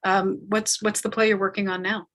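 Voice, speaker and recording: A woman's voice: medium pitch, flat delivery, moderate pace, fairly bright tone. Woman moderator, hesitant and discursive. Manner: hesitant, discursive, often adding "right?" as a check. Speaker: festival organiser and talkback host. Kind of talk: live moderated talkback discussion. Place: remote online call.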